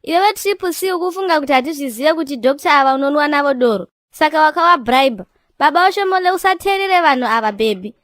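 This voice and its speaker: A woman's voice: sits high, expressive, quick pace, a bit bright, dry recording, studio quality. A woman with a Zimbabwean accent playing an indignant, dramatic wife in a comedy cartoon, emphatic as she scolds and pleads. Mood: indignant, pleading. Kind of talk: comedy cartoon acting in Shona with English words.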